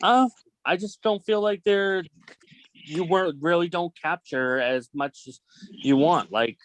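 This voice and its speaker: A man talking casually in a group discussion, halting and repeating himself, often leaning on "like". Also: on the high side, rough recording. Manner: halting, repetitive, heavy use of "like". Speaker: film production student in a group meeting.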